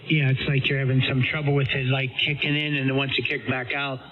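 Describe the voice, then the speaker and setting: A man's voice: medium pitch, flat delivery, brisk. A man chatting casually as an amateur operator, his voice coming through a radio transmission. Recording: dull, noisy ham radio signal.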